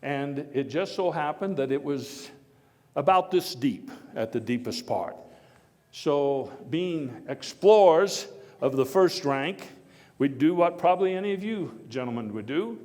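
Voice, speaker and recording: An actor playing a jovial, hearty former American president, giving a folksy speech in a halting, deliberate way. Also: male voice, on the high side, animated, a little mellow. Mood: amused storytelling. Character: Theodore Roosevelt, jovial, hearty former president telling anecdotes. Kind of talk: live historical dramatization speech to an audience. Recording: echoey hall with long reverb.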